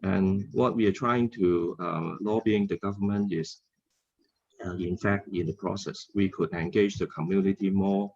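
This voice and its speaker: Low-voiced Hong Kong man, halting delivery. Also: fairly even intonation, darkish tone, muffled recording. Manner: halting, frequent hesitations and word repeats. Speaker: symposium panelist. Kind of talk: unscripted panel discussion.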